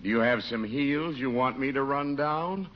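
A man's voice: mid-range voice, moderate pace, natural voice. An actor playing a hearty, obliging male police chief in 1940s radio comedy style. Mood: jovial. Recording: muffled 1940s radio recording.

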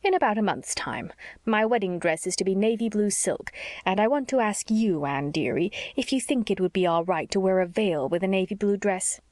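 A woman voicing a blunt, sharp-tongued older spinster in an audiobook story, with a brisk, scolding manner. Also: mid-range voice, no room sound, clean studio sound, close to an everyday voice. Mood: tart.

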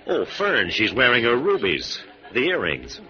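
An actor playing a debonair, good-humored sleuth hero in a 1940s radio drama, urbane and playful. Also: male voice, mid-range voice, huge pitch swings, measured pace. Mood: jovial. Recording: muffled old radio recording, music behind.

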